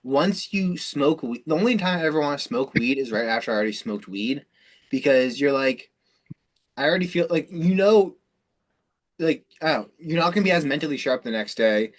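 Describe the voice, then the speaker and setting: A man's voice: fairly high pitch, lively intonation, moderate pace. A man hosting a self-improvement call, explaining things off the cuff in a rambling, informal way with frequent swearing. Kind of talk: casual, unscripted explanation on a call.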